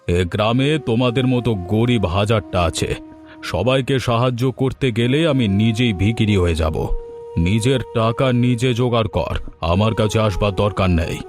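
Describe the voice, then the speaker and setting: A man's voice: lowish voice, unhurried, music in the background, lightly acted. A man voicing a greedy, cruel village headman in a cartoon, harsh and scornful. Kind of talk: cartoon voice acting.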